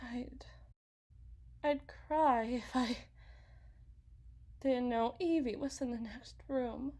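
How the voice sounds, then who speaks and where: A woman playing an anguished, guilt-ridden mother who confides haltingly and dramatically in an ASMR roleplay monologue. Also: fairly high pitch, warm tone. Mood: tender, near tears.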